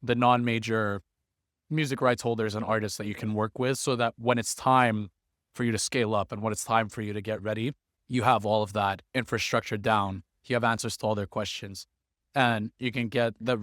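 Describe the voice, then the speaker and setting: Brisk man giving startup advice. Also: lowish voice, no room sound, clean studio sound. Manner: earnest, steady flow of advice, often quick. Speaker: music startup founder, guest. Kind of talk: interview answer giving advice. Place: conference venue.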